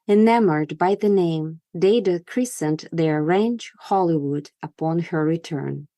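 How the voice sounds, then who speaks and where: A woman podcast host with a lowish, mellow voice delivers scripted narration in a storytelling format. The sound is close and dry, with very little room in it. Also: measured pace, no room sound.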